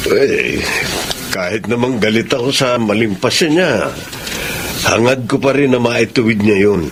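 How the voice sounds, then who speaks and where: A man's voice: mid-range voice, animated, measured pace. A man playing a sincere, hearty and forgiving older brother in a Filipino radio drama. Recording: noisy radio drama recording.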